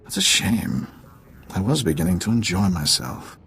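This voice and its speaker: An actor playing a wealthy, reclusive, jaded ex-businessman in a video game, unhurried and dismissive. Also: low voice, sing-song, fairly bright tone, husky, heavily acted. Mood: wry.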